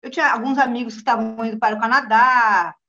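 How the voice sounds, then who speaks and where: High-voiced middle-aged Brazilian woman, muffled audio. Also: steady tone, fast talker, sharp tone. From Rio Grande do Sul, southern Brazil. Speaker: guest, journalist. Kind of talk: casual personal account in an interview. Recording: dull, lo-fi online call.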